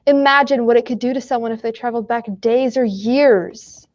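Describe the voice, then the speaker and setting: A woman's voice: a little high, normal speed, muffled recording, natural voice. A woman playing a cautious, worried young scientist in an amateur radio play, earnest and warning. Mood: concerned.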